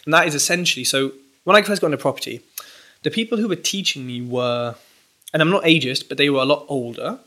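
A young man chatting casually as a podcast guest, talking in an animated, rambling way with restarts. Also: a little high, quick pace. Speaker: podcast guest, property entrepreneur. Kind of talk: casual interview chat.

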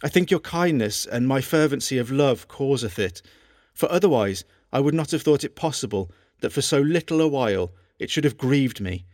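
A male actor gives us a devoted, lovesick king of old England. He reads his letters in formal, archaic phrases with earnest, measured delivery. His middle-pitched voice sits close and dry, as in an audio documentary. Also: expressive, a little mellow, no echo, lightly acted. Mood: tender, longing. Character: lovesick English king Henry VIII reading his own love letters, earnest. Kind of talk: dramatised reading of historical letters.

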